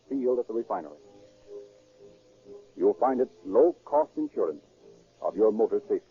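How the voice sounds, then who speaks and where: A man with an American accent announcing and narrating a 1930s radio programme in a formal, measured, scripted style. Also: on the low side, husky. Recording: muffled, worn 1930s radio recording.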